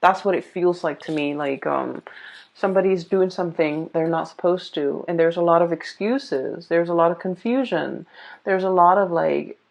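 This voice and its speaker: A woman giving a casual off-the-cuff tarot reading, explaining things in a halting, hesitant way. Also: fairly low pitch, vocal fry, darkish tone. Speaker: tarot reader. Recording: dull, muffled lo-fi sound.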